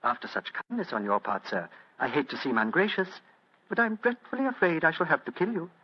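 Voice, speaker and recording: Smug, murderous English butler, old radio mystery: formal courtesy over menace, swooping delivery, muffled recording. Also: male voice, fairly high pitch, animated, fairly quick, breathy edge. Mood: smug, polite menace. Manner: formal, elaborately polite menace. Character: coldly polite, smug English butler turned murderous thief. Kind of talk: 1940s radio mystery acting.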